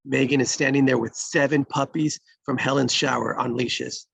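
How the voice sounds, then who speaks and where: A narrator reads out screenplay action lines. The voice is gravelly and rough-edged, recorded close and dry. The delivery is plain scripted narration. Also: medium pitch, fairly even intonation, moderate pace, a bit bright, dry recording. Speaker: stage-direction reader.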